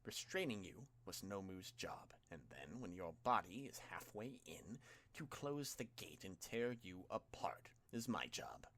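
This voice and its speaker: Low-pitched man acting a mist villain, breathy whisper, formal, measured, coldly menacing, audiobook fan fiction. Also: sing-song, a bit bright, heavily acted. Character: calm menacing mist villain, coldly explaining his deadly task.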